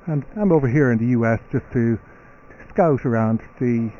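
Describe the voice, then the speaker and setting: A man's voice: medium pitch, moderate pace, dark tone, highs cut off, slight background noise. A middle-aged Irish man talking as a guest on a live radio interview, often restarting words and using "you know". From Ireland. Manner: repeats words, many "you know" fillers. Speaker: company CEO guest.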